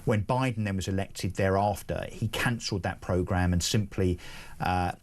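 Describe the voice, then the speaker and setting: Casting: male news presenter, fairly low voice, probing interviewer who cuts in with questions. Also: moderate pace. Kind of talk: live broadcast news interview.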